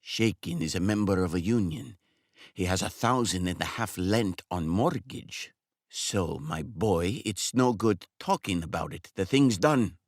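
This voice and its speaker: A man doing a smug older customer, bluff, patronizing, blunt, lively voice, dry close sound. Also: low-pitched, unhurried, no echo. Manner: bluff, patronizing, matter-of-fact.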